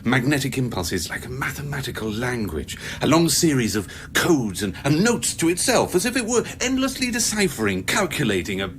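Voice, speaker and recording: An actor playing an evasive, cynical scientist on a remote station in a radio play, cagey and sardonic, with halting, wordy delivery. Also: male voice, medium pitch, very animated, a bit bright, a little hiss or hum. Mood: brooding, eloquent. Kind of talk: radio drama acting.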